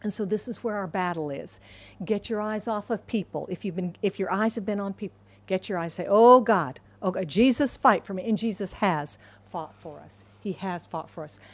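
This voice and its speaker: A woman's voice: mid-range voice, moderate pace. A woman from the United States preaching a sermon, emphatic and repeating her key phrases for the congregation. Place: church in Hong Kong.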